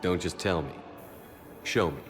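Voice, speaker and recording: A man's voice: low voice, unhurried, soft background music. An actor playing a stern male military commander with a dry, clipped, authoritative manner in a game cutscene. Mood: firm. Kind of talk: video game cutscene acting.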